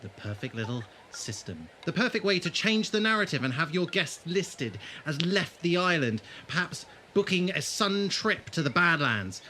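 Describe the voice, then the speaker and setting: An actor playing a sardonic, sharp-minded male adventurer in a fan audio drama, dry and pointed in delivery. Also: a little high, big pitch swings, a little hiss or hum. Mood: accusing, forceful. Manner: dry, pointed, deliberate when pressing.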